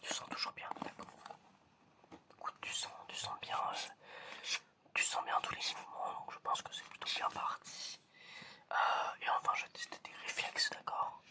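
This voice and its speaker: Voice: moderate pace, pauses often, bright, forceful tone. An ASMR performer whispering a roleplay exam in a hesitant murmur, often repeating short phrases. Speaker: ASMR performer playing an examiner.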